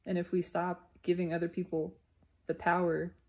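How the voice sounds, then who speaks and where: A woman giving an unscripted, reflective spiritual monologue in deliberate, halting phrases with emphatic repetition. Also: on the low side, completely flat delivery, a little mellow. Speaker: speaker sharing personal spiritual reflections.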